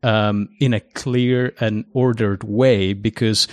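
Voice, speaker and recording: A man's voice: on the low side, takes it slowly, no room sound. Man from Mexico, dry close sound. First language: Spanish. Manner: relaxed, anecdotal, many fillers. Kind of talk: casual discussion.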